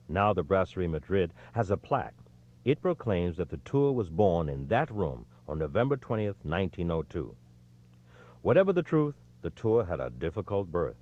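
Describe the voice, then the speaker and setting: A man with a lowish voice narrates an audiobook in a scripted, storytelling read. His intonation swings widely, with emphatic phrasing. The audio is slightly dull and lo-fi. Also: a little mellow. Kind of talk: scripted narration, reading a history book aloud.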